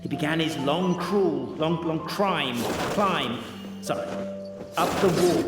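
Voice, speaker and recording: A man's voice: fairly high pitch, slow pace. A man voicing a cheeky, theatrical minion in a video game, given to stammering and mock-storybook narration. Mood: flustered, narrating. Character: cornered, cheeky, theatrical male minion narrating his own escape. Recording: echoey hall reverb, music behind.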